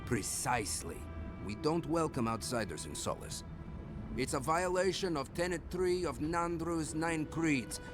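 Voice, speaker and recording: An officious gatekeeper with a fairly high voice that leaps up and down. He is pompous, pedantic and brusque, in a fantasy game scene over music. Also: lively intonation, a little slow. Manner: pompous, rule-quoting. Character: officious male gatekeeper, pedantic, gruffly unwelcoming. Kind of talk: video game cutscene acting.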